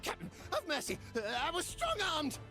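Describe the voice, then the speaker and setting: Casting: cowardly ship's petty officer, high creaky voice, wildly wavering, video game. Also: male voice, huge pitch swings, moderate pace, full character voice. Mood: nervous, pleading. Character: craven, pleading pirate petty officer. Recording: loud game music behind.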